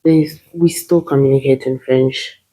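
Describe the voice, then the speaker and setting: A woman from Zimbabwe, Shona her first language, talks as an interview guest. Her voice sits low and sounds mellow and soft. She talks hesitantly, with fillers and restarts. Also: fairly even intonation, measured pace, low-quality recording.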